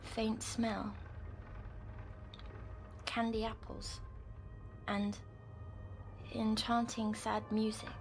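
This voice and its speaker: A woman playing a timid, troubled young girl patient in an audio drama, weary yet defiant, with a halting way of speaking. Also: a little high, level tone. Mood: hushed, dreamy. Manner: slow, halting, hushed when recounting dreams.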